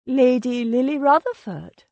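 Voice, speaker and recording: A catty, gossiping young lady of Regency society, high and affected, swooping through her words, as a woman narrator puts her on. Dry, close recording. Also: lively intonation, measured pace, darkish tone, dry recording, highs cut off. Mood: gushing.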